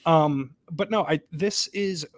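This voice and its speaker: A man's voice: fairly high pitch, slow pace, no echo. A man co-hosting a college football podcast, breaking down plays as he thinks aloud and often asks "right?". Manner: thinks aloud, repeats himself, seeks agreement with "right?". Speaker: podcast co-host, sportswriter. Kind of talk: casual sports podcast analysis. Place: stadium press box.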